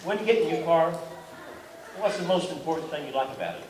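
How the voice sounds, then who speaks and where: Casting: man doing folksy, exasperated sketch-comedy dad, rambling rural phrasing, slightly raised pitch, echoing stage. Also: expressive, quick pace, natural voice. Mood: coaxing. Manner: folksy, ungrammatical, rambling clues. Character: folksy, exasperated dad on a word-game team. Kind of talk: live comedy game-show sketch. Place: large hall, live amateur stage show. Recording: echoey hall, distant mic, loud noise.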